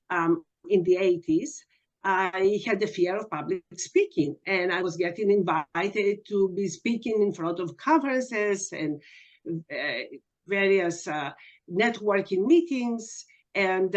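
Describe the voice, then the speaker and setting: A woman in her sixties from Greece, a guest hypnotherapist chatting and telling her story in a podcast interview. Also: medium pitch. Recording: muffled lo-fi remote audio.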